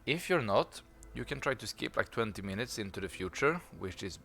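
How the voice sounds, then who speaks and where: A man with a low voice talks casually to his viewers about the game. He rambles a little and hesitates between thoughts. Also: lively intonation, fairly quick, gravelly, fairly bright tone. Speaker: gameplay video host. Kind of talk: casual gameplay commentary.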